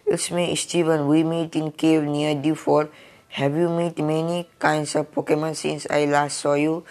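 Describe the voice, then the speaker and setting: Man reading a calm game champion, hoarse, gravelly, flat, slow and halting. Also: a little high. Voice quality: hoarse from a cold.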